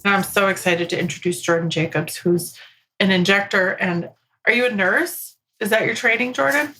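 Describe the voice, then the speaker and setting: A woman's voice: medium pitch, moderate pace, bright, forceful tone, faint music behind, poor audio. A woman in her forties from the United States with a mid-range voice. She hosts a podcast interview, asking questions and responding conversationally. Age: 40s.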